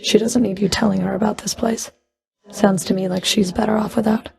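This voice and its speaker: A woman's voice: mid-range voice, fairly even intonation, fairly quick, creaky, a little mellow, breathy edge, heavily acted. A woman playing a stern, sardonic underworld fury in a video game, speaking in a cool, clipped, dry and commanding manner. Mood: guarded. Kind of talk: video game character voice lines.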